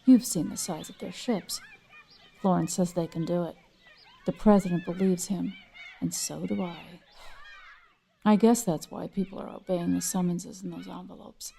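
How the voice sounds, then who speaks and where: A woman's voice: fairly low pitch, fairly even intonation, normal speed, creaky, mellow, slight background noise. An actress playing an anxious but resigned suburban mother in an audio drama, speaking in an earnest, conversational way. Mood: fearful resignation.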